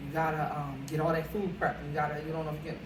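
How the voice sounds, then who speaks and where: A woman's voice: low voice, flat delivery, fast talker, recorded from a distance. A woman from Philadelphia, a caterer and realtor, chatting casually as an interview guest and rambling with many fillers. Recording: echoey room, off-mic, noisy.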